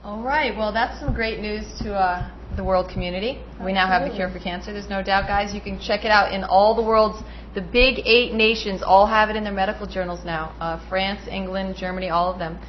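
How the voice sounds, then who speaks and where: A woman's voice: medium pitch, steady tone, brisk. A woman hosts a local studio show with enthusiastic, preachy conviction. Her audio is muffled, with faint hiss. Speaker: TV host. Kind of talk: casual hosting. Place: small studio with green screen. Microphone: desk mic on stand.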